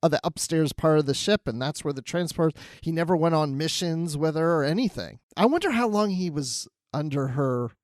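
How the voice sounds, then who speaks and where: A man's voice: a little high, animated, brisk, few pauses, darkish tone, dry recording, clean studio sound. A man co-hosting a podcast in a casual, chatty review style, often voicing imagined quotes as he talks. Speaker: podcast co-host.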